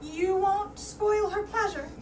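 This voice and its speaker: Very high-voiced, flustered housemaid played by a woman. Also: takes it slowly. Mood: anxious, earnest. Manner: hesitant, repeating words, earnest. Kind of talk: staged play reading. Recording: distant mic, echoey room, background noise.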